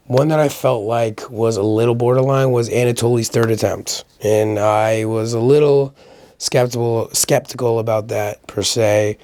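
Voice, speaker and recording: Slightly raspy man, casual recap commentary. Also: fairly low pitch, mellow, no room sound. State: getting over a sickness. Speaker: host of a powerlifting recap channel. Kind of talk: casual solo video commentary.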